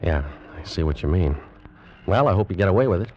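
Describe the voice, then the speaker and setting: An actor playing a determined, haunted adventurer with an American accent, acting and narrating dramatically in 1940s radio style. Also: male voice, sits low, animated, quick pace, lo-fi sound, slight background noise. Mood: earnest. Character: determined, haunted adventurer narrating his perilous voyage, man. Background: dramatic music.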